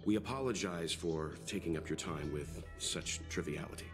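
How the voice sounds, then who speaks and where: Man acting a deep, courteous game companion. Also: normal speed, natural voice. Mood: polite, composed. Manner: courteous, formal, composed. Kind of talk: video game dialogue. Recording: game music behind.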